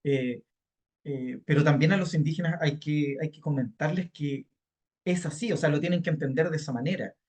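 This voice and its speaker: A man's voice: on the high side, moderate pace, a little mellow. A man co-hosting a podcast, speaking emphatically and repeating words for stress, with frequent fillers as he talks. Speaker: podcast co-host. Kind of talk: conversational podcast chat.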